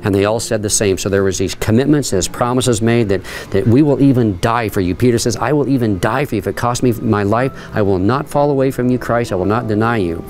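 A man's voice: fairly low pitch, brisk, hardly any pauses, darkish tone, a little hiss or hum. A middle-aged man leading a tour and teaching, reading scripture aloud and explaining it earnestly, with frequent fillers and restarts, on a stone terrace outdoors. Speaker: tour guide and Bible teacher. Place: outdoor stone terrace, then an underground rock dungeon.

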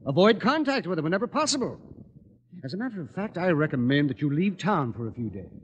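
An actor playing a jovial, breezy family doctor who jokes along in an old radio comedy. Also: male voice, on the high side, big pitch swings, quick pace, highs cut off, a little hiss or hum. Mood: advising. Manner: breezy, jokey. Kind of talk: old-time radio sitcom acting. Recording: old radio recording, hall reverb.

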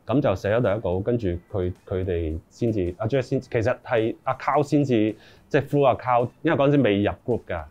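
A man's voice: lowish voice, brisk, darkish tone, lo-fi sound. A middle-aged man with a Hong Kong accent chatting casually as an interview guest, in slangy speech sprinkled with English. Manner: informal, slangy, mixes in English words. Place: small room indoors, seated group.

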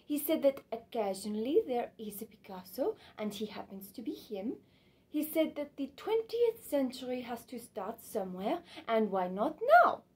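A woman's voice: on the high side, big pitch swings, normal speed, pitched above the performer's own voice. Woman acting a frank, sensual young woman. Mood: hushed, captivated. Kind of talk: theatrical stage monologue.

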